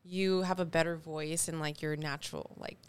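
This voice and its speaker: A woman's voice: sits low, flat delivery, moderate pace, clean tone. A young woman co-hosting a podcast in casual chat, talking with many fillers. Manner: chatty, lots of likes and fillers. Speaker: podcast co-host.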